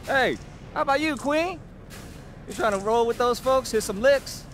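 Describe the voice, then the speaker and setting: A man's voice: extremely high pitch, moderate pace, fairly bright tone, soft background music. An actor playing a cocky, exuberant young American street racer in a video game, slangy and drawn out in his emphasis. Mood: playful. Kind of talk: video game cutscene acting.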